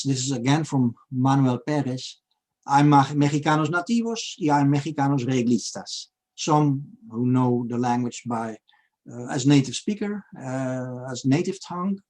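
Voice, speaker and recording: A man in his sixties with a Dutch accent, giving a halting academic seminar lecture over a video call and reading out quoted passages. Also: mid-range voice, darkish tone. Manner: halting, many fillers, reads Spanish quotes aloud.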